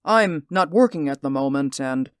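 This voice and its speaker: A man's voice: a little high, lively intonation, moderate pace, clean tone, no room sound, clean studio sound, lightly acted. An actor playing an anxious, insistent man who keeps seeing something in a window, in a 1940s radio drama. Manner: earnest and insistent. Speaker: worried neighbor.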